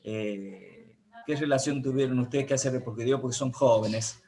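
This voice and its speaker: A man's voice: medium pitch, moderate pace, dark tone. A man asking rambling, inquisitive questions as a conversational interviewer.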